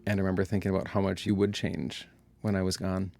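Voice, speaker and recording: A man's voice: deep voice, flat delivery, fast, vocal fry, darkish tone, faint music behind. A man in his thirties in an interview booth, sharing a memory with his niece in a tender, reminiscing way. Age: 30s. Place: recording booth.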